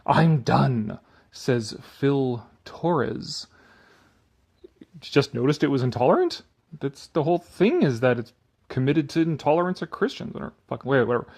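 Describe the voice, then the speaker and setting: A man's voice: medium pitch, very animated, a little slow, gravelly, a little mellow. A man giving a dramatic reading with sarcastic, exasperated asides and casual swearing, shifting between declaiming and offhand muttering. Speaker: commentator reading an article aloud.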